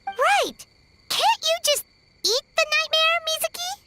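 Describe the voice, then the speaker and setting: Squeaky, excitable tiny fairy. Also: female voice, measured pace, heavily acted. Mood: eager, curious. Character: tiny, eager, childlike floating fairy companion. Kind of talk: scripted video game dialogue. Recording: loud jingly music over voice.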